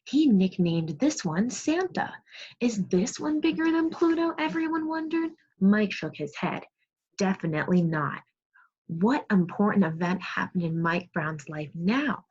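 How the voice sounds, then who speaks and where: A woman teacher reading a storybook aloud to young children in an online lesson, expressive, pausing to prompt and praise her pupils. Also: medium pitch, husky. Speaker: second grade teacher. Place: remote video class. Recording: muffled, lo-fi online class audio.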